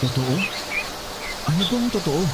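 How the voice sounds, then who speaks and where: A man's voice: fairly high pitch, sing-song, moderate pace, heavy background noise. A man playing an anxious, polite young man pleading for his sick love in a radio drama. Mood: alarmed. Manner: polite, pleading, rising to alarm.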